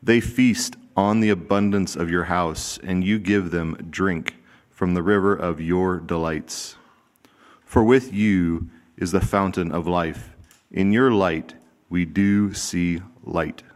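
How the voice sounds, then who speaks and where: A man reading a psalm aloud in a church service in a measured, deliberate way. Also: sits low, fairly even intonation. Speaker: scripture reader. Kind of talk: formal scripture reading.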